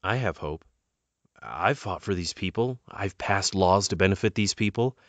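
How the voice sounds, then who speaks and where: Audio drama part for a man: hopeful, principled statesman with a lowish voice, measured and sincere, recorded dry. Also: animated, a bit bright, no echo. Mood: earnest hope. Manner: measured, earnest. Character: principled, hopeful, earnest male politician.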